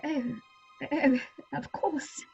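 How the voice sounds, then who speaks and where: A woman's voice: sits high, steady tone, extremely slow, vocal fry, dark tone, soft background music, heavily acted. A woman acting as a timid, apologetic young actress in a horror audio drama, meek and faltering, in slightly muffled sound. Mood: fearful.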